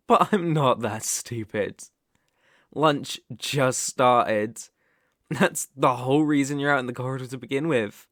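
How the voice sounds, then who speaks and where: A man's voice: medium pitch, a bit bright, no room sound. Man acting a cocky British college bully, close-up. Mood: condescending, flirtatious. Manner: teasing, condescending, swaggering. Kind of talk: ASMR roleplay acting.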